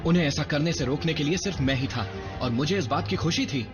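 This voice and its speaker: A man voicing a cocky, eager young robot soldier, brash and earnest, in an animated series dub. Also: a little high, quick pace, natural voice. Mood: storytelling. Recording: dull lo-fi dub under loud music.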